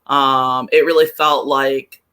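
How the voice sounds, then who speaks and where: Podcast guest, woman, bright and crisp-edged tone, chatty casual sports fan delivery. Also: very deep voice, little pitch movement, takes it slowly. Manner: chatty, peppered with "like".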